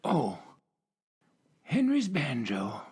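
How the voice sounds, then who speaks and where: A woman's voice: very deep voice, huge pitch swings, drawn-out words, a little mellow, husky, heavily acted. A woman voicing a very old, lonely, forgetful male sun in a radio play, crotchety and confused. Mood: wistful. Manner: crotchety, confused, snaps then trails off. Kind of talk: radio drama acting.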